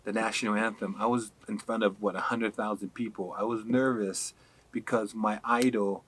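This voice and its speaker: A man from Hawaii, a podcast guest, chatting casually and telling animated stories, laughing often. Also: on the low side, normal speed, husky, poor audio. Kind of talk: casual interview chat.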